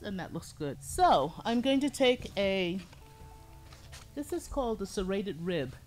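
Calm middle-aged woman, low voice, pottery teacher demoing at her workbench, faint music behind. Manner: calm, explains step by step while working with hands. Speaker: ceramics teacher. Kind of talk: hands-on demonstration lesson. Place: pottery studio, indoors.

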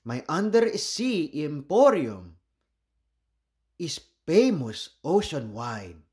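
A man's voice: on the high side, sing-song, takes it slowly, darkish tone. A man voicing a polite, proud seahorse shopkeeper from a storybook, with showy, courteous sales patter. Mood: welcoming, proud.

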